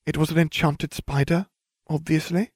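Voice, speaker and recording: A man's voice: a little high, steady tone, normal speed, dry recording, studio quality, voice pushed up. A man voicing a self-righteous, glib schoolboy with a pompous manner in a storybook reading. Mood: dismissive. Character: cocky, self-righteous schoolboy, indignant and oblivious.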